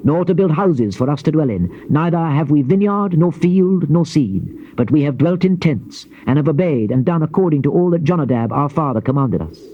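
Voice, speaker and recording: An actor playing a grave Old Testament prophet in a dramatized scripture reading, declaiming in measured phrases. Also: male voice, a little high, animated. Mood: earnest recounting. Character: solemn male Old Testament prophet recounting God's warnings. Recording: dull noisy old cassette tape.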